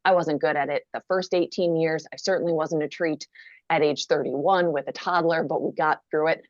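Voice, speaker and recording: A low-pitched woman from Minnesota speaks frankly and wryly, undercutting heavy moments with dry self-deprecating jokes. Her voice sits dead and close, with no room around it, and comes through a dull, lo-fi video call line. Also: steady tone, normal speed, no echo. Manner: candid, wry, self-deprecating humor. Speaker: guest author. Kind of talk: conversational interview answer.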